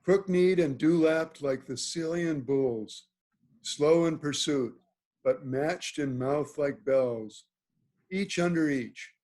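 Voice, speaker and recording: A man reads the part of a noble duke in a Shakespeare play. The delivery is formal and declamatory, with unhurried pacing and a male voice a little higher than usual. He sounds used to giving orders. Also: a little mellow. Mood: stately. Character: noble duke, commanding and at ease, ordering his hunt. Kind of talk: Shakespeare table read, measured verse delivery. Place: video call.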